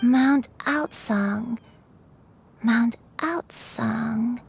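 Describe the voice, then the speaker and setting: Frail old granny for a game, really slow n halting, gentle, sad, kinda high voice. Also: female voice, a little hiss or hum. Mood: distressed. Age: older. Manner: slow, halting, wistful delivery. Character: frail, forgetful elderly grandmother, gentle and sorrowful. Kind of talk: video game voice acting.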